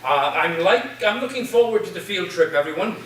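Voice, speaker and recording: A man's voice: high voice, expressive, a little fast, fairly bright tone. A man playing an eccentric, commanding professor who speaks formally and theatrically, like a captain addressing his crew, in a staged reading. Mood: commanding, earnest. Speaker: actor in a staged play reading. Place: live staged reading in a reverberant room. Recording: echoey room, distant mic, faint noise.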